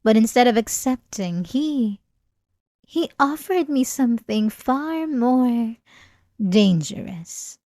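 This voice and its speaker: A woman playing an ancient, obsessive and lonely female vampire in a theatrical ASMR roleplay, with a teasing, seductive, drawn-out delivery. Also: on the high side, animated, dark tone, no room sound, clean studio sound. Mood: gleeful, insistent. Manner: dramatic, teasing, drawn-out delivery with breathy asides.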